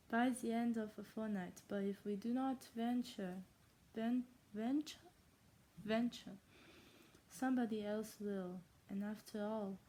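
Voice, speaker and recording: A woman playing a dry, teasing older gentleman from a classic novel, in a clumsy put-on German and then Scottish accent, stumbling and restarting words. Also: on the high side, fairly even intonation, takes it slowly, pauses often. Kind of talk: amateur read-aloud of a classic novel.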